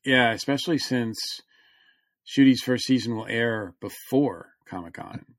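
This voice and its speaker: A man co-hosting a podcast, chatting casually about fan news in a halting, hedging way. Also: mid-range voice, no echo, clean studio sound. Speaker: podcast co-host.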